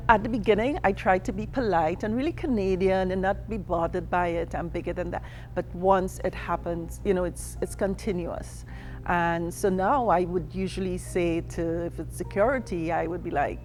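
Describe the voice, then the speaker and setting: A middle-aged woman from Trinidad and Tobago speaking candidly about her life as an immigrant, mimicking the people she quotes in lively asides. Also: on the low side, slight background noise. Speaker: interviewee. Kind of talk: personal testimony.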